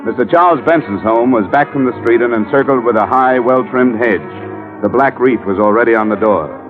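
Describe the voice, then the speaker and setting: An actor playing a brisk, hard-boiled American insurance investigator in a 1950s radio detective drama, clipped and matter-of-fact. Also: male voice, medium pitch, lively intonation, close to an everyday voice. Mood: brisk, businesslike. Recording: tinny old radio recording, music behind.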